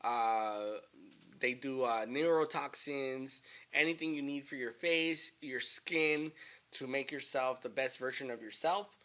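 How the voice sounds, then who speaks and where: A man hosting a casual interview, relaxed and encouraging, with lots of fillers. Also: medium pitch, a little slow. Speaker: podcast host.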